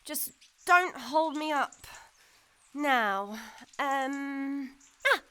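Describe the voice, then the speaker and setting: A woman's voice: high-pitched, extremely slow, sharp tone, full character voice. A woman playing an anxious but determined young girl, hesitant and earnest, in a family audio drama.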